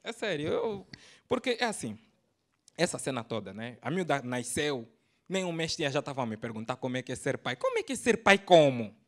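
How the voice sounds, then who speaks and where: A man in his thirties with an Angolan Portuguese accent, doing a stand-up set on a comedy club stage into a handheld mic, swinging from loud bursts to low slangy asides. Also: very animated, a little fast. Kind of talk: stand-up routine.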